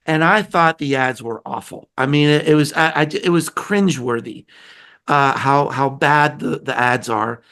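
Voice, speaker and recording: A man hosting a podcast interview, a little hoarse, talking in a chatty, rambling way with many restarts. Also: on the high side, fairly bright tone. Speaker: podcast host. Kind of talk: casual conversational interview.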